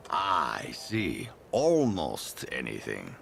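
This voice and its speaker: A man playing a proud, stern father and leader in a video game, gravelly, with a theatrical, commanding delivery. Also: a bass voice, wildly swooping pitch, a bit bright, a little hiss or hum, exaggerated voice. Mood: stern, scolding. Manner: commanding, deliberate, dramatic pauses. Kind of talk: video game cutscene acting.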